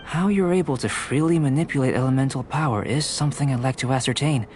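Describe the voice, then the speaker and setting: A man voicing a calm, curious young male alchemist in a video game, with precise, academic and politely detached delivery. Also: medium pitch, slight background noise, natural voice. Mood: curious, explaining. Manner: measured, precise, academic phrasing.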